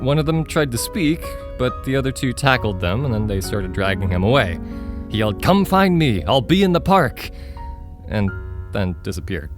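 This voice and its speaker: A man plays an excitable, forgetful young man in an audio drama. He talks in an earnest, animated storytelling style at a middling pitch, with music clearly playing behind him. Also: moderate pace, natural voice. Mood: insistent storytelling.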